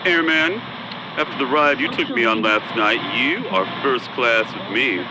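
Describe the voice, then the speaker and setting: A man's voice: medium pitch, unhurried, natural voice. A man playing a flirtatious, swaggering air corps lieutenant in a comedic radio drama. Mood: flirty. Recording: dull, noisy aircraft radio.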